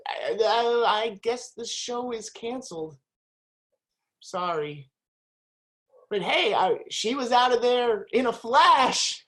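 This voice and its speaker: A woman playing a flustered, stammering stage manager who scolds the narrator in a community stage play. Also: a little high. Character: flustered female stage manager trying to keep the show going.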